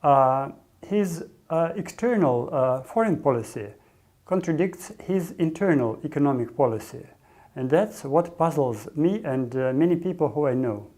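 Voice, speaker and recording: A man answering interview questions hesitantly, filling pauses with many ums and uhs. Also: on the high side, lively intonation, a little mellow. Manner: hesitant, heavy fillers.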